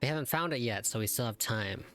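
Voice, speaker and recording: A man's voice: mid-range voice, lively intonation, quick pace. A man reacting casually to gameplay as a streamer, with informal, amused interjections. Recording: loud game music behind the voice.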